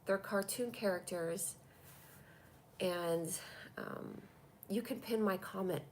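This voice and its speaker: A woman's voice: mid-range voice, slow, a little hiss or hum, rough recording. A woman with a cold and a stuffy voice, hosting a comedy live stream and chatting through viewer comments on a tablet. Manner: chatty and rambling, reacting to comments. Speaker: comedy show host doing a live stream.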